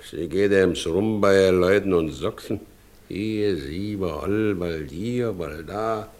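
Slow, brooding Silesian carter. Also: male voice, sits low. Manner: halting, heavy, brooding. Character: brooding, wounded married carter, stubborn and weary. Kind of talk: naturalistic German radio play acting.

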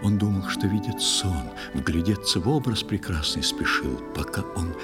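A man's voice: deep voice, lively intonation, normal speed. A man narrating a verse fairy tale as a dramatic storyteller in an audio play. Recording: music under the voice.

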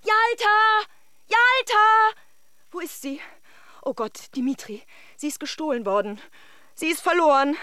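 A woman's voice: extremely high pitch, measured pace, long pauses, sharp tone, full character voice. An actress playing an emotional, effusive married Russian woman in a German radio drama. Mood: frantic. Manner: theatrical, emotionally volatile, effusive.

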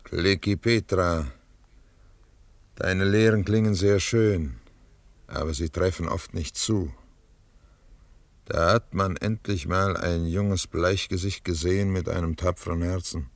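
An actor playing a dignified older chief in a German Western radio drama, grave, stern and deliberate. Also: male voice, low voice, dry recording, distant mic. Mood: bitter, solemn. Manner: solemn, deliberate, reproachful.

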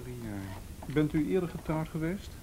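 An actor in a radio play playing a calm, firm police officer who is polite, official and insistent. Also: male voice, a little high, moderate pace, slight background noise, poor audio. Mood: methodical. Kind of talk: naturalistic radio play acting.

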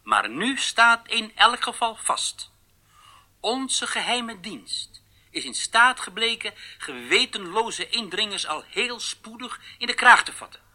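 A pompous state TV interviewer in a radio play. The man's voice is very bright and sharp, swinging widely in pitch as he makes formal, declamatory pronouncements. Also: sing-song, slow, exaggerated voice. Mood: triumphant, solemn. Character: pompous state television interviewer denouncing captured spies. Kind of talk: radio drama acting.

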